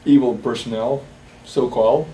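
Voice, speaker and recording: Soft-voiced Bosnian-born man, halting, roomy echo. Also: mid-range voice, steady tone. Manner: halting, many fillers and restarts. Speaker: interviewee. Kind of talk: personal interview testimony. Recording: roomy echo, distant from the mic, faint hiss.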